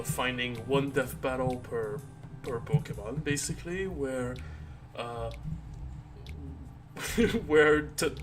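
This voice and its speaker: A tired man chatting casually on a live stream, rambling and halting with many restarts and fillers. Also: mid-range voice. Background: soft background music.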